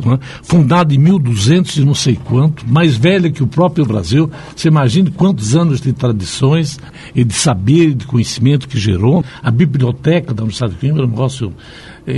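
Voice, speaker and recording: A man's voice: mid-range voice, husky. An older man over sixty with a São Paulo Brazilian accent, telling stories conversationally as an interview guest and often checking for agreement. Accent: São Paulo Brazilian Portuguese. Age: 60s or older. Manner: animated, repeatedly seeks agreement with tag questions. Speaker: psychiatrist, radio interview guest. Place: radio interview.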